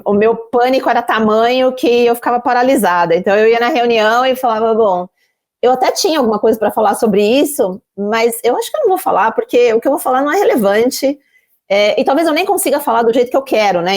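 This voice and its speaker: A woman from Brazil with a Brazilian Portuguese accent, a podcast guest who tells her own story openly, pausing and restarting often as she thinks it through. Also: a little high. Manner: candid, many fillers and restarts, self-questioning. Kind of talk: candid personal storytelling.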